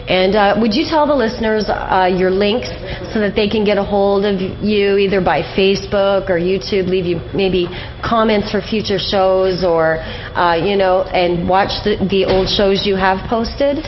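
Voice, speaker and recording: A woman hosts a conversational radio interview at a middling pitch, putting questions to her guest. The sound is somewhat dull and lo-fi, with faint noise behind her. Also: steady tone, unhurried. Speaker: radio show host, interviewer. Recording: dull lo-fi audio, background noise.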